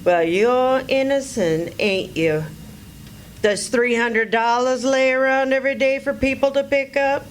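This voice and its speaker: A woman acts a shrewd, motherly country housewife in a stage radio play. She has a highish voice and a slow, folksy drawl, with faint noise behind her. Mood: gossipy, prying. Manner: folksy Southern rural dialect, drawn-out. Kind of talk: live radio play acting.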